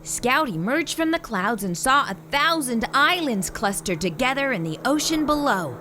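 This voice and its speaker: A woman reading a children's picture book aloud as a storyteller, in an animated way with theatrical emphasis. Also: sits high, moderate pace, a little hiss or hum.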